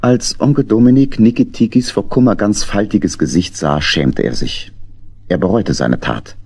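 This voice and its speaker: A man narrating a children's story as an expressive scripted storyteller. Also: fairly low pitch, normal speed, gravelly.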